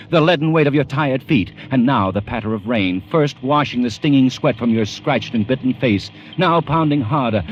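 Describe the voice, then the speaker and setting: An actor playing an intense, ominous narrator in an old radio suspense drama, vivid, urgent and theatrical. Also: male voice, medium pitch, slight background noise, natural voice. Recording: dull vintage radio recording, music behind. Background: dramatic music.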